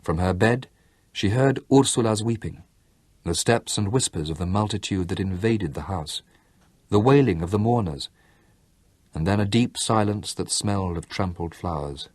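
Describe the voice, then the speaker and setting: Male audiobook narrator, low-pitched, measured literary reading. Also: a little mellow, no echo, studio quality.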